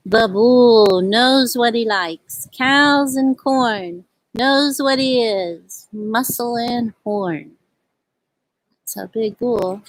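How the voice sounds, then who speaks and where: A woman with a husky voice hosting a storytime for young children, speaking gently and in simple phrases as she reads and chats outdoors. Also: a little high, very slow, no echo, poor audio. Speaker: children's storytime host at a community farm. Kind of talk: storybook read-aloud and casual chat to children. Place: outdoors at a farm.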